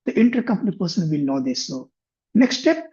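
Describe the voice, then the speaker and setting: A man demonstrating finance software on a shared screen, thinking aloud with frequent restarts. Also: high voice, big pitch swings, fairly quick, slightly rough. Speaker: software instructor. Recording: muffled lo-fi computer mic.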